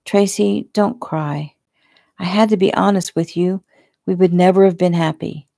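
A woman narrator voicing a weary, earnest young man in an audiobook, halting and placating in manner. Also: lowish voice, fairly even intonation, vocal fry, dark tone, no room sound, studio quality.

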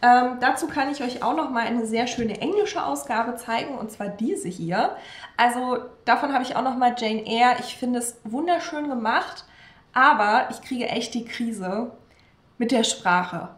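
High-voiced young woman chatting about books. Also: unhurried, echoey room. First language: German. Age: young adult. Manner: chatty and enthusiastic, frequent ähm fillers. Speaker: book vlogger. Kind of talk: casual book talk to camera. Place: room with bookshelves behind her. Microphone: camera at desk distance.

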